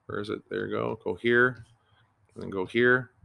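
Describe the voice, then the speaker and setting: A man's voice: fairly low pitch, fairly even intonation, moderate pace, vocal fry, pushed and bright. Rambling man, podcast host at home. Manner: rambling, with frequent ums and restarts. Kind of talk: casual rambling chat.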